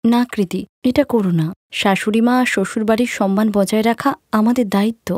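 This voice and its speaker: A woman voicing a dutiful, gentle young daughter-in-law in a cartoon story, meek and respectful. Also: on the high side, steady tone, a little fast, background noise, lightly acted.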